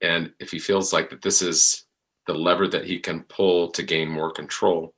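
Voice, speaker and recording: Guy with a deep but bright voice, thinking out loud, kinda halting, casual chat. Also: level tone. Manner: halting, tentative, searching for words. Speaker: actor discussing his podcast drama. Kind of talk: reflective casual discussion.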